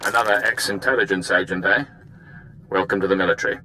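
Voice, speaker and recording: A man playing a no-nonsense army captain in a video game, giving brisk, matter-of-fact briefings. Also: low-pitched, steady tone, bright, forceful tone, slight background noise, low-quality recording.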